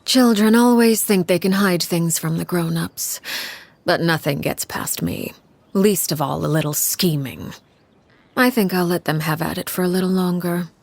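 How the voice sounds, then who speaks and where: A cold, commanding matriarch of an orphan household, a game character who never raises her voice. Her tone sits low for a woman and stays calm, measured and quietly threatening. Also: creaky, dry recording. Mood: cool menace. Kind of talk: video game dialogue.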